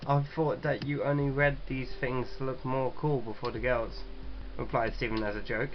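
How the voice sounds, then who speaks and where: A man's voice: medium pitch, flat delivery, moderate pace, muffled recording, slight background noise. A man hosting a casual gaming read-aloud, jokey and sweary, stumbling a little as he reads. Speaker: gaming video host.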